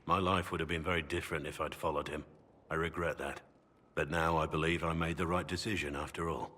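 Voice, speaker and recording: A man playing a brooding, honourable middle-aged warrior in a video game, with measured, earnest delivery. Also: rumbling low, steady tone, bright, forceful tone, heavily acted. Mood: regretful. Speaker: video game character voice actor. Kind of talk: dramatic game dialogue.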